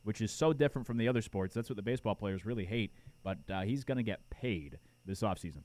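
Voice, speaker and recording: A man's voice: on the low side, animated, fast, a little mellow, no echo. A man hosting a sports talk radio show in a studio, talking casually with lots of fillers while he is losing his voice. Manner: casual, rambling, many fillers. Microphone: studio microphone.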